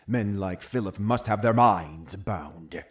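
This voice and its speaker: An actor playing a stern, scheming older magistrate, pious and condescending, in a period audio drama. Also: male voice, low voice, slow. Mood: scornful instruction. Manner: formal, commanding, condescending.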